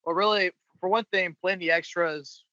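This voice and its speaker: A man with a bright voice speaking haltingly, repeating phrases while he looks for the right words. The recording is dull and muffled, with little room sound. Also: sits high, steady tone, no room sound. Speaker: voice actor guest. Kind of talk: casual podcast chat.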